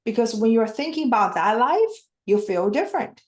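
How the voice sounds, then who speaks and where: A woman's voice: fairly high pitch, very animated, moderate pace, roomy sound. A woman whose first language is not English, explaining things simply and earnestly as a podcast guest, with frequent restarts. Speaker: guest coach. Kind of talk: podcast interview.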